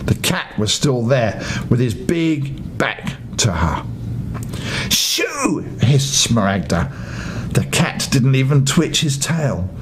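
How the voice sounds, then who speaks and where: An older man reading a children's bedtime story with expressive narration. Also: slow pace. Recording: faint rumbling background noise.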